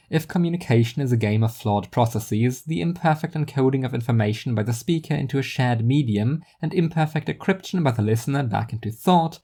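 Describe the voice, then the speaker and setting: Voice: medium pitch, dark tone. A storyteller reading scripted first-person fiction in a wry, literary manner. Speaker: narrator and storyteller.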